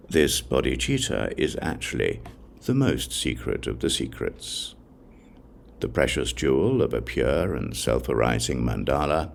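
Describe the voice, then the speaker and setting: A man with an extremely low, gravelly voice narrates a sacred text solemnly. He reads slowly and deliberately in a measured recitation, like a scripted audiobook, with lively rises and falls in pitch. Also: slight background noise. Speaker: audiobook reader.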